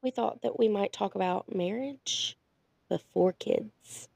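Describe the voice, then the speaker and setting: A woman's voice: mid-range voice, slow, creaky, darkish tone, breathy edge, no echo. A woman in her thirties, sick with chest congestion, chatting casually as a podcast co-host and rambling through details. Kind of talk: casual chat.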